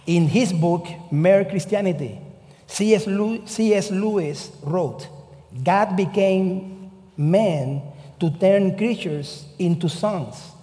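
A man from Cuba with a Cuban Spanish accent, a pastor delivering a sermon with deliberate, emphatic phrasing. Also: on the high side, warm tone, big-room sound. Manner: deliberate, emphatic preaching with slow drawn-out phrases.

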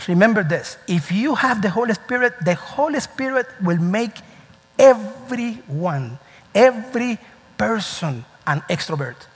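Animated male pastor in reverberant church. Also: measured pace, slightly rough. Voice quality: slightly high, lively preaching voice with nonstandard English grammar. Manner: animated, storytelling, emphatic. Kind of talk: sermon. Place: church sanctuary.

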